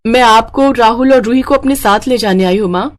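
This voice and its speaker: A woman voicing a kind, dutiful young doctor in a cartoon story, speaking earnestly and gently. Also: a little high, brisk, close to an everyday voice. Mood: pleading, earnest. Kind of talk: Hindi cartoon moral story acting.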